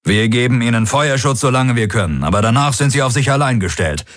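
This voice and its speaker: A man voicing a stern alien military commander in a science fiction audiobook, gruff and commanding. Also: medium pitch, lively intonation, fairly quick, no room sound, studio quality, faint music behind, voice pushed up, natural voice. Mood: resolute. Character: stern, gruff alien male warlord commanding a battle cruiser.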